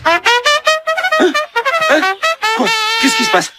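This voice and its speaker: A man playing a good-natured, slightly vain middle-aged father in a children's cartoon, affable and expressive. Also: very high voice, slow, loud music behind the voice, exaggerated voice. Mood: startled. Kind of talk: French animated children's series dubbing.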